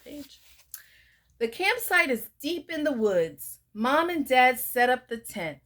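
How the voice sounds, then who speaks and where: A young woman reading a picture book aloud to children, sing-song and pausing to ask the listener questions. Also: sits high, sing-song, fairly bright tone. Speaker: storyteller.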